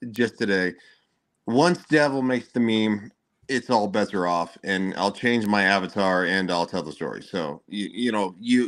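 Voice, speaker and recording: A man's voice: on the low side, a bit bright. A man on a livestream calling in on his phone, sounding lispy and speaking defensively. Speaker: co-host. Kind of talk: casual crude banter. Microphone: phone mic.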